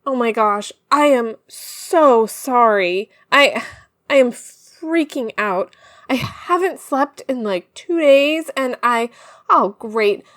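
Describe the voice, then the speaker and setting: Woman acting a high-voiced, frantic young fugitive. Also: animated, takes it slowly, a bit bright, no room sound. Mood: panicked, frantic. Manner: rambling, informal, breathless asides to listeners. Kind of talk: first-person audio drama, confiding podcast monologue.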